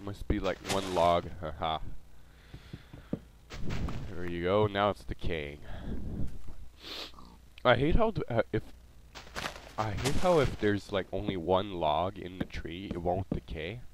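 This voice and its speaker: A man's voice: deep voice, lively intonation, takes it slowly, long pauses, background noise. A man with a cold and a nasal voice, hosting a gameplay video and chatting casually with many ums and uhs.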